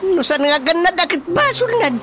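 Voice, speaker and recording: A man's voice: extremely high pitch, expressive, normal speed, over loud music. A man playing a blustering, exclamatory village chairman in an Ilocano-accented radio comedy drama. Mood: grumbling. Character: blustering male barangay chairman, quick to exclaim.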